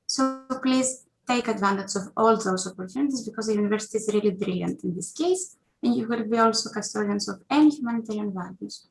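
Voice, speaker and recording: Young woman student on a webinar panel, medium pitch, formal and earnest, sounds like a prepared talk. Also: quick pace, vocal fry, faint music behind, poor audio. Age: young adult. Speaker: international university student, panelist. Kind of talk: prepared testimonial presentation.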